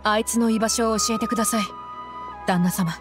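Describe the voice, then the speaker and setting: A woman's voice: a little high, steady tone, fairly quick, lightly acted. An actress playing a proud young female mercenary bodyguard in an anime game, blunt and terse, tough but wounded. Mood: angry, hurt. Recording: soft music behind the voice.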